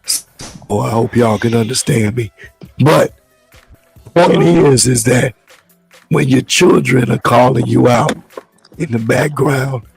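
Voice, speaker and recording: A man hosting a casual talk show, hoarse from the flu, speaking in a measured, rambling way. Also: medium pitch, soft background music, poor audio. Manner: measured, loose, repeats words as he talks. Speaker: show host.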